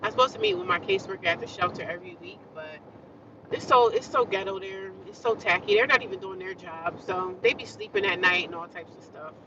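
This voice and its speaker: A woman's voice: on the low side, fairly quick, distant mic, dull audio, a crowd in the background. A woman chatting casually to her viewers from inside a car, talking with a congested nose. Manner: chatty, talks straight to viewers. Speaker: vlogger. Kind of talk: casual rambling chat to viewers.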